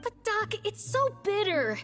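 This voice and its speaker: A woman's voice: very high-pitched, sing-song, a little slow, fairly bright tone, soft background music, heavily acted. A woman voicing a young boy in a video game, sulky, reluctant and whiny, grumbling and pleading.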